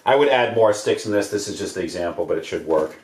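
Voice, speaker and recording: A man's voice: deep voice, animated, fast, a little mellow. An American man, around his forties, giving a casual hands-on demonstration in an offhand, slangy, rambling way. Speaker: DIY homesteading presenter. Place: indoors, living room by wood stove. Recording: roomy echo, noise of handled objects.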